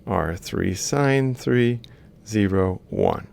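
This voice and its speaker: Low, very creaky male lecturer. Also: expressive, extremely slow, a little mellow. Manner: explanatory, step by step, reciting values piecemeal. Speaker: university lecturer. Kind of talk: instructional screen-capture lecture, thinking aloud while writing.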